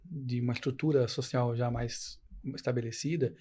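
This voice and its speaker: A man's voice: medium pitch, steady tone, moderate pace, mellow, slightly rough. A man with an accent from the interior of São Paulo state, explaining things emphatically in a casual podcast discussion. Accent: interior São Paulo Brazilian. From interior of São Paulo state, Brazil. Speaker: podcast guest, educational materials producer.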